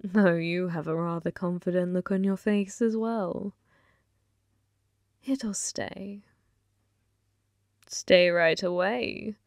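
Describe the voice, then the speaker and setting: A woman voicing a flirty, possessive female demon gambler in an ASMR roleplay, breathy and often whispering, teasing with sweet menace. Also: on the low side, dry recording, studio quality. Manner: slow, teasing, calls listener love. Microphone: close microphone, dry.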